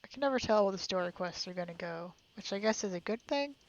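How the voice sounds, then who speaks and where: A woman's voice: medium pitch, expressive, quick pace, muffled recording. A woman giving casual live commentary while playing a game, chattily thinking aloud to herself. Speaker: game streamer.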